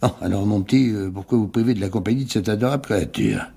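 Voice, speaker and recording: Effusive older businessman, slightly husky. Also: on the low side, fast talker, mellow. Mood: chummy, conspiratorial. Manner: effusive, repetitive, patronizing. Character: jovial older influential businessman, chummy and insistent host. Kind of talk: French radio mystery drama.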